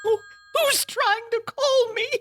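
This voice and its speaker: A woman playing a nervous, demanding invalid wife in a suspense radio drama, shrill, fretful and indignant. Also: slow, noisy background. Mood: panicky. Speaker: volunteer actor.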